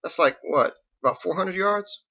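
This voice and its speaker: A man's voice: high voice, moderate pace, creaky, bright, forceful tone, highs cut off, pitched above the performer's own voice, full character voice. A man voicing a laid-back, boastful young sailor in an audiobook, talking in casual slang. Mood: eager, casual. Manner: slangy, folksy, informal. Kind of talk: audiobook character acting.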